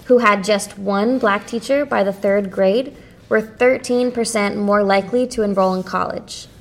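A woman co-host presenting education bills from prepared notes in a measured way. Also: mid-range voice.

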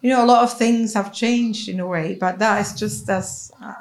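A middle-aged woman from Switzerland, a native Swiss German speaker, chatting in an interview as a head teacher, with soft laughs and restarts. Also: mid-range voice, fairly even intonation, normal speed. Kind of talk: casual interview chat.

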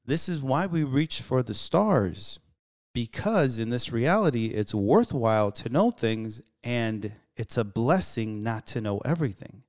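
A man's voice: mid-range voice, lively intonation, measured pace, a little mellow. A man hosting a solo talk show, speaking earnestly in a musing, reflective way with frequent restarts. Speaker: podcast host.

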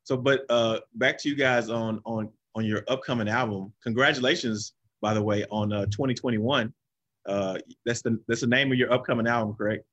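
Male host, mid pitch, upbeat and encouraging with his guests. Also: steady tone, moderate pace, fairly bright tone, lo-fi sound. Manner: upbeat and affirming. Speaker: interview host. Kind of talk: live interview hosting.

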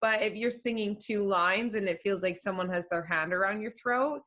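A woman's voice: mid-range voice, moderate pace, fairly bright tone. Woman podcast host, talks like a teacher giving advice, easygoing chat. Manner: advising, explanatory.

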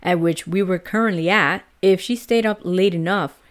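A woman's voice: lowish voice, normal speed. A young adult woman from El Salvador, hosting a casual podcast, chatting and laughing easily as she retells and reads out a ghost story. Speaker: podcast host.

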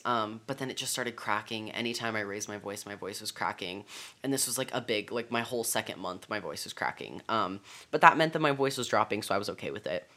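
A man's voice: medium pitch, bright, forceful tone. A young man talking candidly in a casual vlog, chatty and full of fillers. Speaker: vlogger.

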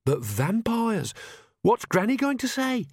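A man voicing a sensible, anxious young woman in an audiobook, with a flat, questioning and hesitant manner. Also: high voice, slow pace, husky, dry recording, studio quality, pitched above the performer's own voice, heavily acted. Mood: worried. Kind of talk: audiobook character voice.

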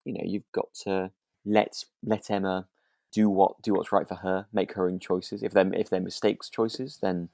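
A man with a very low voice talks casually as a podcast co-host. His phrasing is tentative and rambling, with frequent circling back. The sound is very dry and close, free of room echo. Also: little pitch movement, normal speed, vocal fry, dark tone. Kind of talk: casual discussion of a radio drama.

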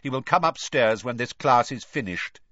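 A man's voice: fairly high pitch, wildly swooping pitch, measured pace, fairly bright tone, no room sound, highs cut off, pitched above the performer's own voice, exaggerated voice. A man voicing a cold, spiteful male teacher in an audiobook, speaking curtly and with silky menace. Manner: curt, menacing, commanding. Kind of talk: audiobook character voice.

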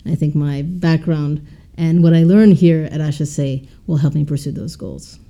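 A woman who was a doctor, now an MBA student, giving calm, composed interview answers. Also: low-pitched, moderate pace.

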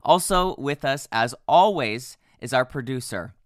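A man with a hoarse voice co-hosting a podcast, chatting playfully and poking fun at himself. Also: medium pitch, lively intonation, no echo, studio quality. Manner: playful, self-mocking, quick asides. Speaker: podcast co-host. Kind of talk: casual chat.

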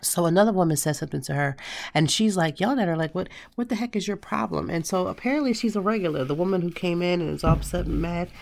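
Hoarse low-voiced woman podcaster with a cold. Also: expressive, quick pace, darkish tone. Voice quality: raspy. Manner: chatty storytelling, talks straight to listeners. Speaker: podcast host. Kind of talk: casual solo podcast monologue.

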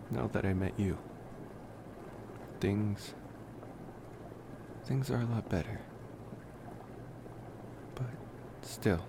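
Slow, gravelly, gentle vampire boyfriend, acted by a man. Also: fairly low pitch, noisy background, heavily acted. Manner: hesitant, rambling, soft-spoken with pauses and sighs. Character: gentle male college vampire boyfriend, wistful and affectionate. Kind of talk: ASMR roleplay, intimate personal attention.

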